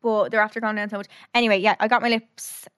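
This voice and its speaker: A woman's voice: medium pitch, level tone, fast talker, a bit bright, smooth, no room sound, clean studio sound. A young Irish woman co-hosting a casual podcast chat, giggly and prone to trailing off mid-sentence. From Ireland. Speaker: podcast co-host.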